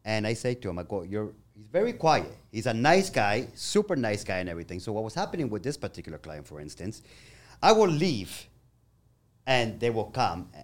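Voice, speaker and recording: A man in his forties talks through a job story in a loose roundtable chat and quotes both sides of his conversations. His pitch swings around a lot as he speaks, and he often drops to a low, quiet aside. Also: sing-song, brisk. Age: 40s. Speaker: electrical contractor. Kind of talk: casual podcast chat.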